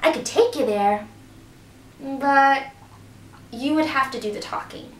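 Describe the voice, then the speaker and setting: A woman's voice: unhurried, slight background noise. A woman voicing a small, helpful bluebird in a children's story, speaking in a chirpy way. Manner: high, chirpy. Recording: roomy echo, distant mic.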